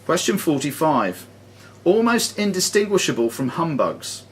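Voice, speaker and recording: A man's voice: on the high side, big pitch swings, measured pace. A man acting as quizmaster, reading questions formally and measuredly to players in a club room, with the odd jokey aside. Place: sports and social club room. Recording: noisy live room recording.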